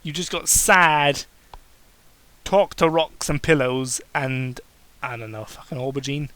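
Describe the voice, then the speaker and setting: A man's voice: medium pitch, steady tone, measured pace, a bit bright, no room sound. A man doing a dramatic reading of fan fiction, breaking off into sarcastic, sweary asides.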